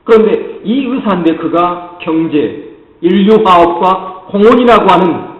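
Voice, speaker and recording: A man with a high voice and a light Jeolla accent preaches a sermon, speaking straight to his congregation. His voice rings through a large church hall with a long echo. The sound is muffled and lo-fi. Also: unhurried. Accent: Jeolla Korean. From Jeolla, South Korea. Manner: earnest preaching, often addressing the congregation directly. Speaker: pastor. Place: church sanctuary.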